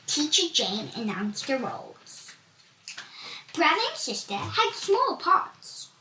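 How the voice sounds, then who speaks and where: High, very animated woman reading a storybook. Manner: deliberate, expressive storytelling with emphatic swoops. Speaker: volunteer story reader. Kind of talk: children's storybook read-aloud. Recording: echoey room with background hiss.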